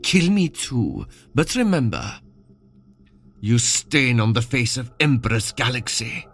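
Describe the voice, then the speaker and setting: An actor playing a blunt, indignant army officer in a sci-fi audio drama, terse and defiant. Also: male voice, medium pitch, big pitch swings, takes it slowly, faint music behind, full character voice. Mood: bitter defiance. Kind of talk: scripted audio drama acting. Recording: noisy track with background ambience.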